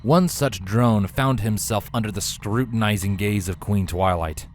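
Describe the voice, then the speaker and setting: A man's voice: lowish voice, measured pace, soft background music. A man reading scripted fiction aloud as a storyteller, in the style of an audiobook.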